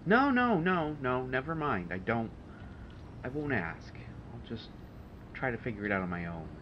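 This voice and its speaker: A man's voice: medium pitch, very animated, normal speed, natural voice. A man playing a testy, sardonic supervillain genius in an audio roleplay, speaking in a dry, haughty, hesitant way with exasperation. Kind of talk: intimate audio roleplay monologue to a listener. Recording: dull, noisy home recording.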